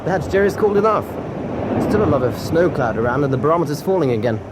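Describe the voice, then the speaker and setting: Casting: male actor as eager British wartime bridge officer, dutiful, animated high voice, radio play, heavy shipboard noise behind. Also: fast talker, close to an everyday voice. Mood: conversational. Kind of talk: radio drama acting. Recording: loud engine and sea noise.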